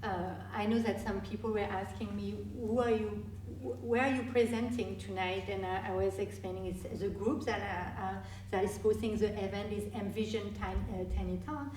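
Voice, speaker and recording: A woman, a guest speaker answering audience questions in a halting way with many restarts. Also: mid-range voice, fairly even intonation, darkish tone, a little hiss or hum. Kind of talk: live Q&A presentation. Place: meeting hall. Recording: echoey hall from far off.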